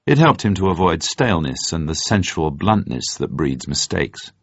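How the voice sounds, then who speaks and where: A man reading a spy novel aloud as an audiobook narrator, in measured, deliberate phrasing. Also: low voice, no echo. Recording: dull, muffled, dry close recording.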